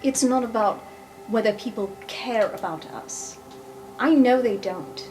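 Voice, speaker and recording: A woman's voice: on the high side, a little slow, darkish tone, soft background music, lightly acted, poor audio. A woman voicing an earnest, anxious young student who pleads with a rival in a tabletop roleplay podcast.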